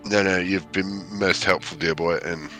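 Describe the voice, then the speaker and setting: A man with a very deep but bright, forward tone speaks as a player at a tabletop game. His phrasing is polite and clipped, with an old-fashioned ring. Faint music plays underneath. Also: steady tone, moderate pace, low-quality recording.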